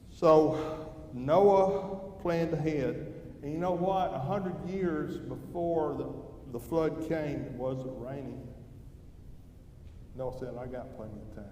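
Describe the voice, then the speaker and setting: A man's voice: a little high, dark tone. Older man, church devotional talk, folksy, conversational, roomy echo, faint background noise. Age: older, grandfather. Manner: folksy, conversational, asks the listeners questions. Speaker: devotional speaker at a church service. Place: church hall during an evening service.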